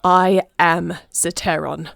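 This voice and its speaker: A woman acts out a fierce warrior queen of dragon blood in a fantasy audio roleplay. Her voice is low and creaky, recorded close and dry. She speaks with formal, deliberate authority. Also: fairly even intonation, a bit bright, dry recording, faint music behind, exaggerated voice. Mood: steely, urgent. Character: commanding dragon-shifter warrior queen, fiercely protective wife.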